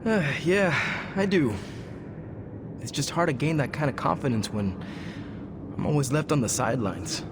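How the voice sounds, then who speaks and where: A man's voice: a little high, lively intonation, moderate pace, pauses often, background noise. A young man playing a brash, earnest superhero in a video game, talking in a casual, slangy way. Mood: candid, unsure. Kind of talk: conversational video game acting. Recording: voice under clear background music.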